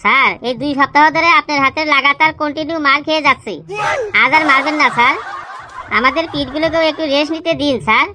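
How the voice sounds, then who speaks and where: A woman's voice: sits high, fairly even intonation, fast, pushed and bright, slight background noise. A woman voicing cheeky schoolboys in a comedy cartoon, complaining and pleading in an exaggerated way. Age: child figure. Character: cheeky village schoolboys grumbling and questioning teacher.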